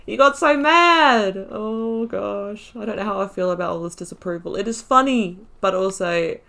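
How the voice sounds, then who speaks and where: A woman's voice: medium pitch, moderate pace, creaky, pushed and bright. A woman hosting a playthrough with casual commentary, reflectively thinking aloud as she goes. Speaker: gaming channel host. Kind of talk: casual let's play commentary.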